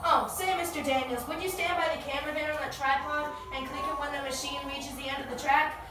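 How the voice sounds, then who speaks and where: A woman plays an eager young inventor in a homemade radio drama. The voice is high and earnest, with declaimed lines. It comes from well away from the mic in a very echoey room with clear noise. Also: steady tone, brisk, lightly acted. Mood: eager, busy. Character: Orville Wright, eager young male inventor, excited first flyer. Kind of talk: amateur family radio play. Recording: echoey room, distant mic, noisy hiss.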